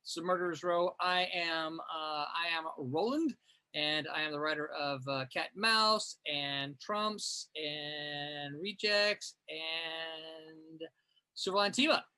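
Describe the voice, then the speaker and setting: A man's voice: fairly high pitch, takes it slowly, sharp tone, clear, resonant tone. A man hosting a casual livestream, chatty and reading out comments from viewers. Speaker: host, comics writer.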